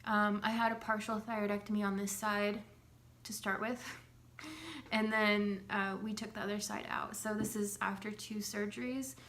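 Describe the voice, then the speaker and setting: A woman's voice: medium pitch, moderate pace, fairly bright tone. A young woman with a slight cold, chatting casually to camera in a loose, rambling way with many fillers. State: has a slight cold, clears her throat. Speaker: vlogger sharing her recovery. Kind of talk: casual talking-to-camera update. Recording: echoey room with faint hum.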